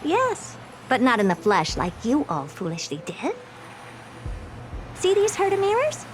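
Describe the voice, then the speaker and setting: An actress voicing a haughty, playful genius researcher in a video game, with a condescending, commanding and theatrical manner. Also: female voice, high voice, huge pitch swings, a little slow, background noise, heavily acted. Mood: smug, explanatory.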